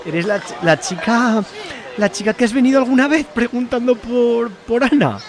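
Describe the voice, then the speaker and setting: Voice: medium pitch, normal speed, natural voice. A performer playing a jittery, chatty male market butcher who stammers when caught off guard, over crowd murmur. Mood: flustered. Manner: flustered, stammering, chirpy. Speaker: character in a radio serial.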